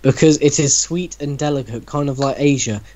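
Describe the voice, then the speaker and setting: A man's voice: medium pitch, brisk, darkish tone. Guy reading a story out to mates, casual, keeps chipping in sceptical teasing remarks. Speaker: reader in a group reaction show.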